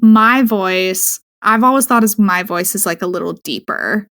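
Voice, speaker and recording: A woman co-hosting a casual podcast in a calm, soothing voice. Her pitch sits in the middle, and she talks in an easygoing way. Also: a little slow. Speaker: podcast co-host.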